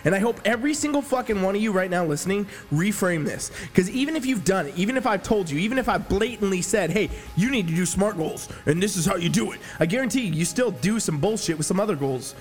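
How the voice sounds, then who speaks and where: A man's voice: high-pitched, animated, fast talker, few pauses, in a large hall, soft background music. A man hosting a fitness podcast as a coach, giving a profane, brash motivational rant, a little sniffly from allergies. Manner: brash, swearing, hype-man delivery.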